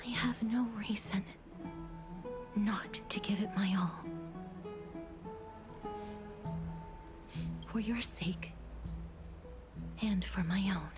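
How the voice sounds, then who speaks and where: An actress voicing a gentle, melancholy young woman bound to death in a video game, with a soft, breathy, solemn delivery. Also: medium pitch, slow, pauses often, natural voice. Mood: tender resolve. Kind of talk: dramatic game voice acting. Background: game music.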